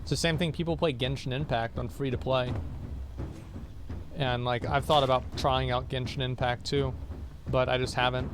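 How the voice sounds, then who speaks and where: A man's voice: mid-range voice, fairly even intonation, moderate pace. A young man talks casually into a desk mic while he plays a game on stream. He answers viewers' questions bluntly, wandering off mid-thought and circling back. A faint background hum sits under his voice. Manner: rambling, blunt, reads out and answers chat questions. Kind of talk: casual chat with livestream viewers while gaming.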